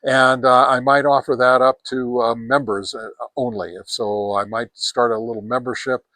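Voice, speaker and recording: Male reviewer, mid-pitched, casual and folksy, emphatic repetition, dry outdoor recording without echo. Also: level tone, moderate pace, bright, forceful tone. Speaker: product reviewer and host. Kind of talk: casual hands-on radio gear review. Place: outdoors on a deck.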